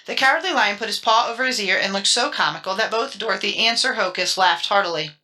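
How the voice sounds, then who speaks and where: Woman doing dramatic audiobook narration, bright forward voice, storybook style. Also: mid-range voice, fast.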